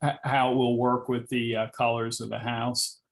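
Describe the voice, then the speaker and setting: A man presenting building plans at a review meeting, speaking informally in a hesitant, halting way as he explains and answers questions. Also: medium pitch, flat delivery, creaky. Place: public board meeting.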